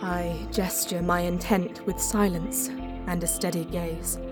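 Solemn alien mystic woman, played by an actress, low and very unhurried, guarded and reverent, audio drama with prominent music. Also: fairly even intonation, close to an everyday voice. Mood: solemn awe. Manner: measured, solemn, deliberate. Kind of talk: science fiction audio drama, poetic first-person narration.

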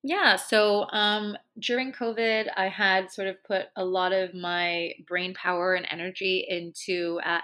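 A woman's voice: mid-range voice, sharp tone. A woman from Hong Kong who works as a bartender and drinks educator, chatting easily in an interview with lots of fillers. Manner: easygoing, chatty, many fillers. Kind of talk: casual interview chat.

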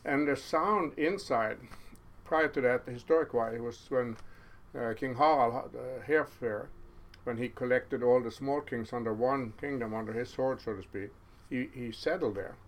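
A man in his seventies with a Norwegian accent, telling stories as an interview guest in a halting way, with many restarts. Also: mid-range voice, low-quality recording. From Norway. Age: 70s. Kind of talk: casual storytelling interview.

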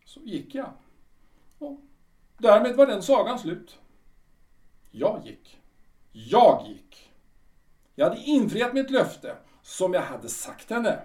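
A man's voice: high-pitched, sing-song, pauses often, a little mellow, recorded from a distance. A man playing a proud, brooding retired official in his sixties in a radio drama, speaking theatrically and repeating himself emphatically. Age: older. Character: proud, brooding 62-year-old retired official clinging to memories.

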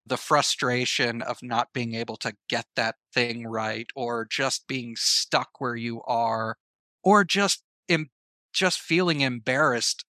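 A man with a bright, forceful mid-range voice talks as a guest in a casual interview. He is thoughtful and emphatic, pausing mid-sentence, recorded dry and close. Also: medium pitch, dry recording. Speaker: guest, writer and audio drama creator. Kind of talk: casual, reflective interview talk.

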